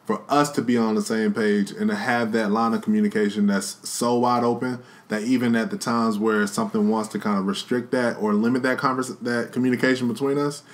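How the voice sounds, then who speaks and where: A man co-hosting a casual podcast with his wife, talking in a relaxed, slangy, earnest way about becoming a father. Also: fairly low pitch, fairly quick, hardly any pauses. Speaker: podcast co-host, expecting father. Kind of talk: casual chat.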